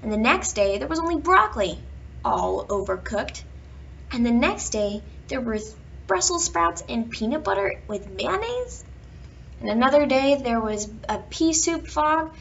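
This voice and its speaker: A woman reading a children's picture book aloud, with expressive emphasis and drawn-out words in a storytelling manner. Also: on the high side, creaky. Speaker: children's bedtime story reader. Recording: dull, distant home recording with background noise.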